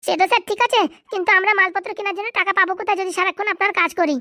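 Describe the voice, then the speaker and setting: A woman voicing a cheeky, scheming young male cartoon sidekick who talks in eager, flattering colloquial Bengali-accented patter. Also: very high voice, very fast, no echo, studio quality. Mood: eager, deferential. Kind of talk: comedy cartoon dubbing.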